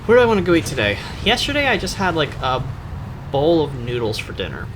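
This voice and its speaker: A man's voice: fairly high pitch, wildly swooping pitch, fast, a bit bright, a little hiss or hum. A man giving rambling, irreverent, slangy commentary on game cutscenes, with a sarcastic streak. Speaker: video host commentator. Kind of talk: rambling joking commentary over game cutscenes, acting out dialogue in skit voices.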